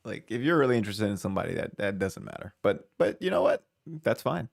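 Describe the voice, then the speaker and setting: A man's voice: on the low side, big pitch swings, fast, vocal fry. A man hosting a reaction video, casually thinking aloud, hedging and restarting his sentences. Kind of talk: casual commentary.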